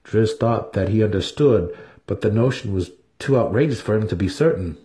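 A man reads fantasy fiction as a dramatic narrator. His voice is fairly low, with a dark, relaxed tone. The delivery is scripted storytelling. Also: moderate pace. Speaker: audiobook narrator.

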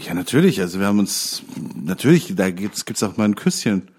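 A man's voice: lowish voice, big pitch swings, brisk, darkish tone, husky. A man co-hosting a comedy podcast, riffing with mock-posh irony and laughing often. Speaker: podcast co-host.

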